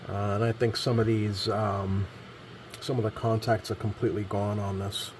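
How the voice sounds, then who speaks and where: A man presenting a radio repair vlog in a casual, explanatory way, with halting, hesitant phrasing and frequent pauses. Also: lowish voice, flat delivery, darkish tone, slight background noise.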